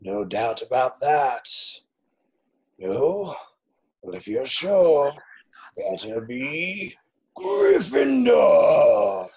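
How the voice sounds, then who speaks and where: A man playing an ancient talking hat with a husky voice and slow, drawn-out delivery in a script read. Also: on the high side, wildly swooping pitch, warm tone, exaggerated voice, poor audio. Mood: triumphant cry. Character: ancient talking sorting hat, musing then shouting. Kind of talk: table read of a film script.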